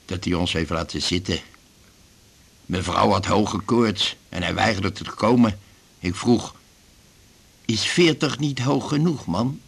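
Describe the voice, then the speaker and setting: A man's voice: on the low side, unhurried, creaky, long pauses, breathy edge, exaggerated voice. An actor playing an aggrieved, garrulous retired glue salesman in his fifties in a radio drama, rambling and grumbling. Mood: indignant. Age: 50s. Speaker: patient in a doctor's office. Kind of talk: naturalistic radio drama acting.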